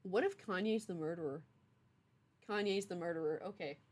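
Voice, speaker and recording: A woman's voice: lowish voice, moderate pace, a little mellow. Playful young woman chatting on a livestream. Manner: playful, teasing, mock-stern banter. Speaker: streamer, game writer. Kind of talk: casual livestream chat.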